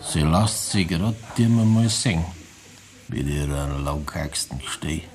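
An actor with a Bavarian accent playing a gloomy, resigned ageing tavern regular in a radio play. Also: male voice, extremely low pitch, expressive, slow pace, full character voice. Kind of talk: 1960s Bavarian radio drama dialogue.

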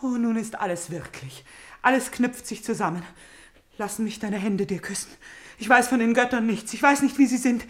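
An actress playing a tormented, vengeful princess in a German radio drama, theatrical and moving between breathy whispers and fierce outcry. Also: female voice, fairly high pitch, normal speed, close to an everyday voice. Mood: overwhelmed, fervent. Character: tormented, vengeful princess, fevered and obsessive, grieving her father.

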